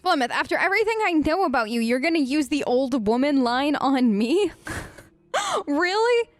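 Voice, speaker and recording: A woman gamer hosting a role-play let's play, conversational, expressive and emphatic. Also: sits high, moderate pace, dry recording. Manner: expressive, emphatic, incredulous asides. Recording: close dry mic, faint game music. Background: faint game music.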